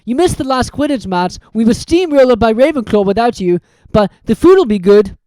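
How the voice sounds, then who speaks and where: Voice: mid-range voice, expressive, moderate pace, dark tone, dry recording, voice pushed up, natural voice. A reader voicing a cheerful, loyal schoolboy who talks eagerly and a bit boastfully.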